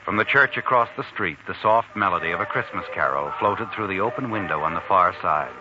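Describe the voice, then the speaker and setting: A man playing a sinister, all-knowing mystery narrator, theatrical and deliberate, in 1940s radio style. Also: low-pitched, expressive, background noise. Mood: measured storytelling. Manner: theatrical, deliberate, addresses the character directly. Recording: old muffled radio recording, music underneath.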